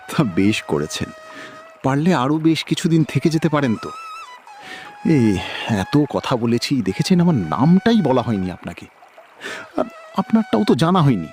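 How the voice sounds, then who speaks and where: Man playing a flirtatious, animated landlord heir. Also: medium pitch, a little slow, long pauses, a little hiss or hum. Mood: smitten, flirtatious. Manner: flirtatious, chuckling. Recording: music under the voice.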